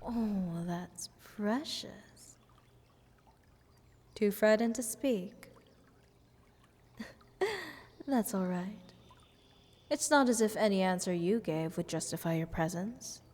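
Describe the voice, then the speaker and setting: A woman's voice: medium pitch, takes it slowly, pauses often, a little mellow. A woman playing a playful, selfish goddess in a fantasy audio roleplay, talking down to a little mortal in a teasing, condescending way with whispered phrases. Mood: mocking pity. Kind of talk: scripted fantasy audio roleplay addressed to the listener. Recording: long hall-like reverb.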